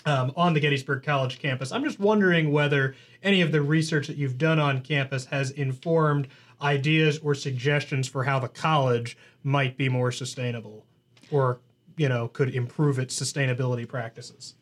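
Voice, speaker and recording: A man in an interview asking a guest a measured, deliberate question. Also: medium pitch, steady tone.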